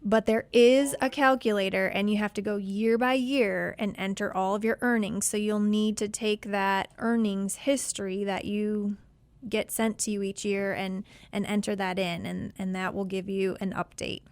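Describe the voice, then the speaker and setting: A woman's voice: medium pitch, fairly even intonation, moderate pace, darkish tone, clear, resonant tone, no room sound. A woman hosting a call-in financial advice show, friendly and patient as she explains things to a caller. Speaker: financial advisor host.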